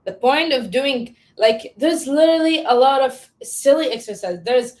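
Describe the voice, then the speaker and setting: Encouraging woman voice coach, bit high. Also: animated, darkish tone, soft background music. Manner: instructive, encouraging, halting restarts. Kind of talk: coaching a singing lesson.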